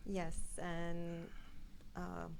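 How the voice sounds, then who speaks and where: A woman's voice: fairly low pitch, completely flat delivery, very slow, vocal fry, darkish tone, slight background noise. A woman in her thirties from Italy with an Italian accent, chatting as an interview guest and prone to long tangents. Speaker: guest scientific editor. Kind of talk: conversational interview storytelling.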